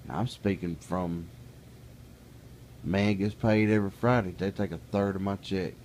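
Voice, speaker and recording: A man's voice: low-pitched, fairly even intonation, normal speed, darkish tone, a little hiss or hum. A middle-aged man talking casually to camera in a plainspoken, folksy way, building into an exasperated rant. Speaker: vlogger. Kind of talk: casual ranting monologue.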